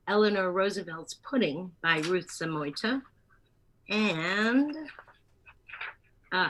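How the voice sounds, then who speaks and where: A woman with a slightly low voice speaks as a theater producer in an interview. She is conversational, sometimes reading from notes, and often pauses or corrects herself as she goes. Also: sharp tone, slight background noise, rough recording.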